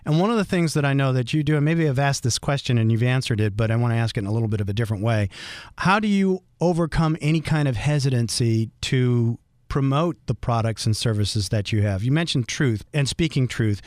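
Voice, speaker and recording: A man's voice: expressive, fairly quick, a little mellow, no echo, clean studio sound. Man asking long, thoughtful questions. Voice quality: baritone. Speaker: podcast host. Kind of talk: podcast interview.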